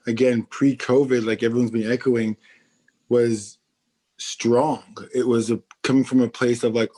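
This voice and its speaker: A man's voice: fairly low pitch, a little mellow. A man on a roundtable panel speaking earnestly and reflectively. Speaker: roundtable panelist, collective co-founder. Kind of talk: casual discussion.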